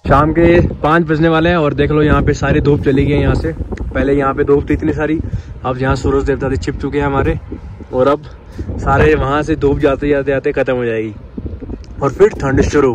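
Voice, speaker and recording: A man's voice: mid-range voice, level tone, normal speed. Young man vlogging, noisy outdoors. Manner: informal, bro-peppered talk. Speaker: solo travel vlogger. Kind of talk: casual chat to camera. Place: outdoors at a mountain campsite.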